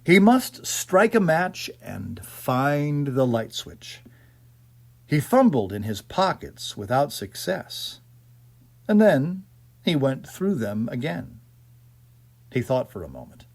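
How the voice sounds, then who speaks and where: Man, ghost story narrator, theatrical delivery, slightly husky grain, very animated intonation. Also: medium pitch, slow pace. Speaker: audiobook narrator.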